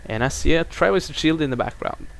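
A man's voice: on the high side, quick pace, gravelly, slight background noise. Sarcastic Norwegian-accented young man. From Norway. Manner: dry, sarcastic asides. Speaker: gameplay commentator. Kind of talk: casual let's-play commentary.

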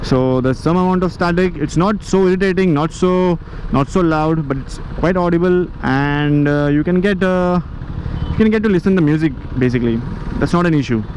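An Indian-accented man with a fairly high-pitched voice talks casually through a product review. He rambles a little, circling back to rephrase his points. Noticeable outdoor traffic and vehicle noise runs behind him. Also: normal speed, a little mellow, low-quality recording. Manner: rambling, repeats and rephrases himself. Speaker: motorcycle vlogger reviewing gear. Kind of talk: casual spoken product review while riding.

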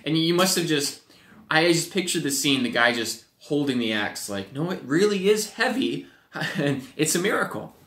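A young man, a youth pastor, teaching a Bible lesson to children with animated storytelling and playful acted-out voices, speaking to a webcam in a home office. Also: a little high, moderate pace, reverberant. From Wisconsin, USA. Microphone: webcam at desk.

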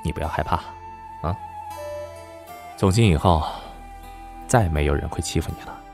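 A man's voice: a bass voice, normal speed, over music. A man acting an earnest, theatrical prince regent in a Chinese costume audio drama. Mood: tender. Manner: theatrical, with sighs and exclamations. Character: male prince regent, time-traveller in a nobleman's body, earnest, dramatic. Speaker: amateur voice actor.

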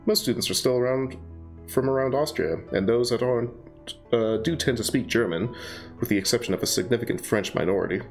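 A man voicing a poised, precise young woman student who explains things in a measured, clinical way. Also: medium pitch, faint music behind, lightly acted. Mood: composed.

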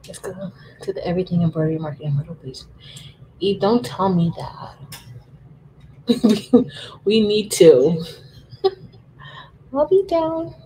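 A woman's voice: mid-range voice, mellow, a little hiss or hum, poor audio. A woman in her thirties hosting a casual craft livestream from home, chatting with viewers while she works. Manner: chatty, addresses viewers as y'all, frequent laughs. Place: indoors at a home craft table.

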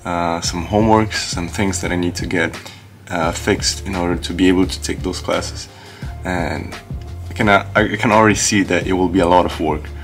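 Young dude, deep voice, chatty vlog talk with lots of uh's, music going behind. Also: male voice, steady tone, normal speed, few pauses. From Brazil. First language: Portuguese. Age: young adult. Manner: upbeat, chatty, lots of uh fillers. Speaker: college student vlogger. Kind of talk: casual vlog narration. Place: dorm room, indoors. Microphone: camera at arm's length.

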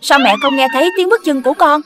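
A woman's voice: sits high, fast, natural voice. A woman voicing a flustered cartoon animal in a children's show, exclaiming and scolding. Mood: startled. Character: flustered, excitable cartoon animal mother or friend.

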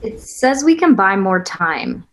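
A woman's voice: medium pitch, sing-song, slow pace. A woman in her thirties acting a frank young woman at a screenplay table read. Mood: pressing. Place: plain indoor room, video call.

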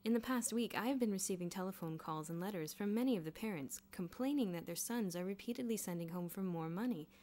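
A woman voicing a stern male headmaster in an audiobook story, formal, measured and drily sarcastic. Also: medium pitch, mellow, natural voice.

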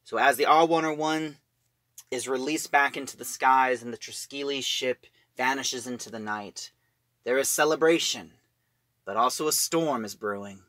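A man giving a casual, informal story recap in an animated storytelling way with dramatic pauses. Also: medium pitch, dry recording. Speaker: reviewer.

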